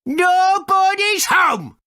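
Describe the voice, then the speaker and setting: A woman voicing a grumpy, excitable little furry creature in a video game, with a raspy voice and blustering, indignant manner. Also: very high voice, drawn-out words, dry recording, studio quality, heavily acted. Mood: grumpy, dismissive. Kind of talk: video game character acting.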